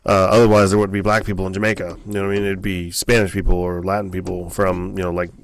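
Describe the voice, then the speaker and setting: Low, creaky-voiced American man chatting. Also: little pitch movement, fast talker, dry recording. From United States. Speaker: podcast co-host. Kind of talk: casual opinionated chat.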